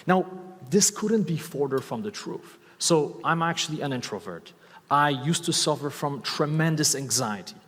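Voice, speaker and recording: A man's voice: a little high, expressive, normal speed, a bit bright. A man in his thirties with a Polish accent, giving an enthusiastic stage talk and often asking the audience questions. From Poland. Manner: enthusiastic, asks the audience questions, repeats key words. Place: large hall with live audience. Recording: long hall reverb from a stage talk.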